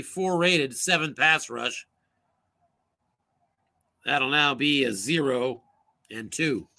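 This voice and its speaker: A man calls a tabletop football game play by play in a brisk, sharp, forceful voice that sits a touch high. His voice is wearing out, with a strained, hoarse edge. He lifts his energy for the big plays. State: losing his voice, coughing. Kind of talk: solo play-by-play commentary.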